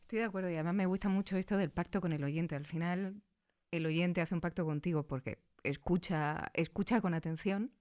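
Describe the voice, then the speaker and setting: A woman's voice: fairly low pitch, fairly quick, darkish tone. A woman with a Castilian Spanish accent interviewing guests casually in a recording studio.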